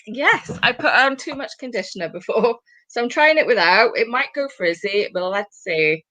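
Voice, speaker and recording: A woman in her forties with a British accent, hosting a casual chat over a video call, rambling with quick asides. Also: a little high, lively intonation, pushed and bright, rough recording. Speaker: host.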